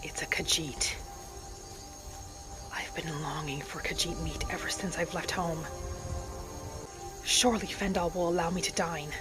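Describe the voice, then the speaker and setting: A woman playing an earnest, devout wood elf wanderer, narrating a fantasy game roleplay in measured, formal phrasing. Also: on the low side, close to an everyday voice. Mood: hungry, reverent. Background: music.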